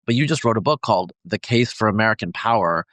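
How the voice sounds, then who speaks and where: Rambling podcast host, lots of fillers. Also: male voice, lowish voice, expressive, fairly bright tone, no room sound. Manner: rambling, filler-heavy, halting questions. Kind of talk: casual interview questions.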